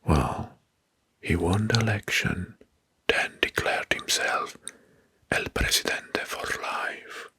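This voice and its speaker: A man narrating facts as an ASMR host in a hushed, breathy whisper, deliberately repeating phrases. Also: lowish voice. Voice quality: breathy, mostly unvoiced whisper.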